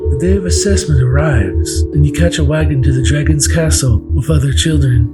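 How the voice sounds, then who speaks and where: A man giving calm, gentle, scripted ASMR narration of a fantasy story. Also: on the high side, low-quality recording. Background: soft electronic ambient music.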